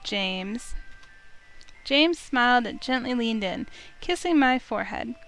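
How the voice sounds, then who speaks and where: Higher-pitched woman narrating a story. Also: lively intonation, takes it slowly, a bit bright. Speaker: narrator reading a visual novel aloud. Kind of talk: expressive first-person story narration. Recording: music under the voice.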